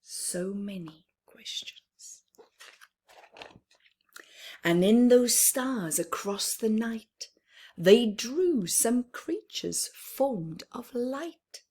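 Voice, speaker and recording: Slow, deliberate woman reading to children. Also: a little high, lively intonation, husky. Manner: deliberate phrasing with pauses, often dropping to a hushed near-whisper. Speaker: children's story time host reading a picture book aloud. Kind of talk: expressive read-aloud for young children, with chatty asides.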